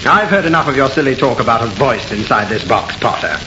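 An actor playing a skeptical, condescending gentleman with ornate, commanding speech in an old-time radio horror drama. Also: medium pitch, animated, fairly quick, over music, close to an everyday voice. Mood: scornful, commanding. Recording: muffled, noisy, echoey old radio recording.

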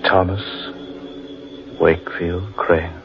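Deep, grave man in old radio horror. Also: steady tone. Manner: solemn, ominous, deliberate. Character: grave, brooding man lost in an eerie forest. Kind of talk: 1940s radio horror acting. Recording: dull vintage radio recording.

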